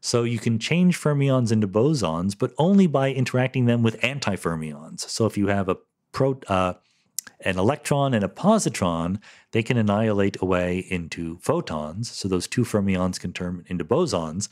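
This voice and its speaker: A man's voice: fairly low pitch, lively intonation, moderate pace, darkish tone. A middle-aged man hosting a podcast, answering listener questions in a casual, thoughtful way, often correcting himself mid-sentence. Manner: thoughtful, self-correcting, lightly laughing. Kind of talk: casual solo Q&A explanation.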